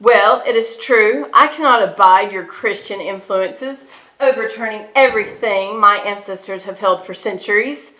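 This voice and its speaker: Woman acting sharp, haughty scheming queen mother. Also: medium pitch, normal speed, lightly acted. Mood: scornful fury. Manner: haughty, mocking, declamatory. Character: scheming, venomous pagan queen mother, bitter and scornful. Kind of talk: theatrical stage reading. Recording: echoey stage hall.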